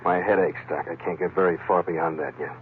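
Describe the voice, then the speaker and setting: An actor playing a tough, weary sea captain who narrates in terse, dry, hard-boiled 1940s radio style. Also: male voice, low-pitched, fairly even intonation, fairly quick, slight background noise, natural voice. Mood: groggy, dry. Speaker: lead actor and first-person narrator. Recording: tinny vintage radio recording, loud music.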